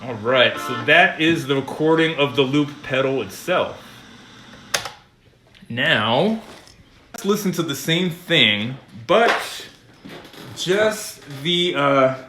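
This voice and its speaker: A man hosts a casual, off-the-cuff demo in a voice a little higher than most. He takes his time, often stopping mid-phrase before carrying on. The room adds a clear echo around his words. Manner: halting, pausing mid-sentence between phrases. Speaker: host of a music gear demo. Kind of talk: casual, unscripted demonstration to camera.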